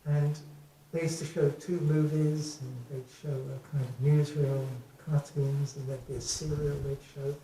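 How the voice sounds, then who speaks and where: An older man from England with a soft voice, chatting to a live audience between songs in an easygoing, rambling way, with plenty of ums. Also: fairly high pitch, little pitch movement, moderate pace, few pauses, a little hiss or hum. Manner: rambling, reminiscing, frequent ums. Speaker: singer-songwriter. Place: live venue. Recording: echoey live room from far off.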